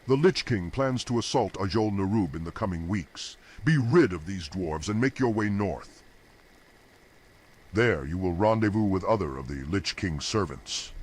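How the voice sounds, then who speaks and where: A man's voice: low voice, animated, moderate pace, slightly rough. A man playing an imperious, impatient demonic overlord who gives threatening commands in a video game cutscene. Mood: cold, impatient.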